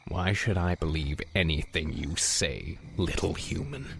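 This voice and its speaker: An actor playing a brooding, proud male elf exile in a video game cinematic, with a hoarse, whispery delivery. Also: sits low, measured pace, a little hiss or hum. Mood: defiant.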